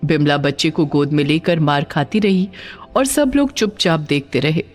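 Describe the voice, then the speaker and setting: A woman reading a scripted story as narrator, with a dramatic, expressive delivery. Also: low-pitched, normal speed, soft background music.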